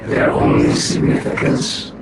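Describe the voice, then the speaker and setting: A man's voice: measured pace, lightly acted. A man playing a stern, merciless commander of a fallen horde in a fantasy game cutscene, blunt, harsh and imperious. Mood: stern, scornful. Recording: echoing, loud noisy ambience.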